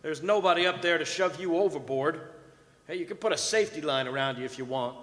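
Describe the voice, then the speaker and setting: Man playing a high-voiced impatient drilling boss, echoey. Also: fast talker, fairly bright tone, slight background noise, natural voice. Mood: impatient, bossy. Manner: brusque, bossy, plain-spoken. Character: impatient, practical male oil drilling boss. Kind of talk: live radio drama acting. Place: performing arts center stage. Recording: echoey stage hall.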